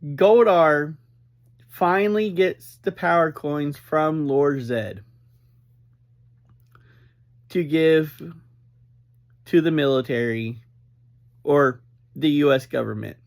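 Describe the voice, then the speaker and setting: A man giving an informal, unscripted recap as a reviewer, speaking in halting, deliberate phrases with frequent breaks. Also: a little high, vocal fry. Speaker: reviewer and host of an episode review series.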